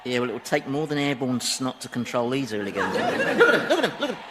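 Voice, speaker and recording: Exasperated, sardonic British Satan, swooping intonation. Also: male voice, medium pitch, huge pitch swings, quick pace, heavy background noise. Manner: grumbling, sarcastic, colloquial British swearing. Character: exasperated, sardonic Satan, weary ruler of hell. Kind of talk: comedy radio drama acting.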